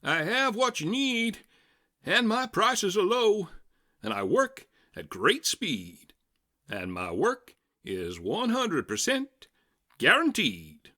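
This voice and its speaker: A man's voice: high voice, huge pitch swings, slow, bright, forceful tone, pitched above the performer's own voice, heavily acted. A man voicing a sly, eager fix-it salesman creature in a storybook, with grand, persuasive patter. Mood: eager salesman pitch.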